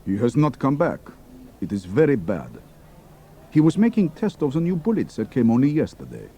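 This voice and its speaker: An actor playing a worried German pilot who speaks slightly imperfect English in an audio drama. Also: male voice, medium pitch, wildly swooping pitch, normal speed, warm tone, slight background noise. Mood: grave, worried.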